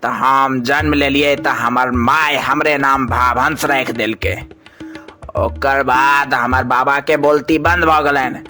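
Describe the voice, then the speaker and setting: A man's voice: medium pitch, steady tone, slow, bright, forceful tone. A man with a Maithili accent voicing a pompous, easily exasperated scientist boss in a comic cartoon, boastful and scolding. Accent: Maithili, Mithila region. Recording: music bed under the voice.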